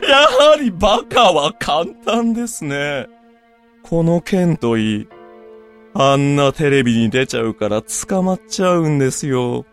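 A man's voice: a little high, big pitch swings, soft background music. A man playing a coldly polite, mocking villain in an audio drama, speaking smugly with a sneer under the courtesy. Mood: gleeful taunting. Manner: slow, smug, politely sneering. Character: coldly polite, mocking male villain who hunts werewolves. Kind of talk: Japanese audio drama acting.

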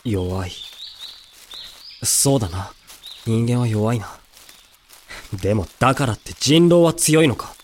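An actor playing a hot-headed young male werewolf raised among humans, talking in rough, boyish casual speech in a voice drama. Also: mid-range voice, unhurried, long pauses, darkish tone, close to an everyday voice. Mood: musing. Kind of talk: Japanese amateur voice drama acting.

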